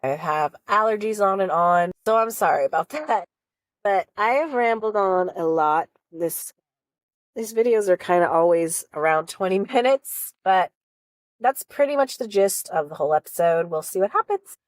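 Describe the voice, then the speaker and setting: A woman's voice: mid-range voice, animated, normal speed, no echo. Sniffly, chatty woman recapping a show. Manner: rambling, chatty, warm encouragement. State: sniffly with a cold. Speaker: reality show reaction vlogger. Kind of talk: casual recap vlog.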